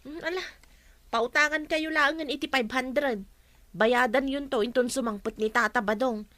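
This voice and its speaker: A woman's voice: sits high, steady tone, normal speed, a bit bright, no room sound. An actress with an Ilocano accent playing a kind, obliging younger village laundrywoman in a radio comedy drama. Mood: kindly. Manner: polite, obliging.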